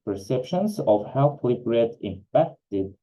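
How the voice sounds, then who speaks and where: Slow, dark-voiced man from Egypt presenting. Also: medium pitch, sing-song, poor audio. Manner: hesitant, many uh fillers. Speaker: conference presenter. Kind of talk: academic presentation.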